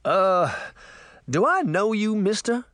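A man's voice: sits high, big pitch swings, takes it slowly, fairly bright tone, dry recording, studio quality, pitched above the performer's own voice, full character voice. A man voicing a harried, baffled sheriff in a storybook, polite and concerned. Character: harried, baffled small-town lawman, polite, grown man. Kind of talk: audiobook character voice.

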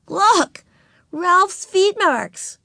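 A storybook little girl of preschool age, acted out by a woman reader, pitched way up high. She is stubborn and whiny, with loud, childish demands. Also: sing-song, unhurried, creaky, no room sound, studio quality, heavily acted. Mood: stubborn, excited.

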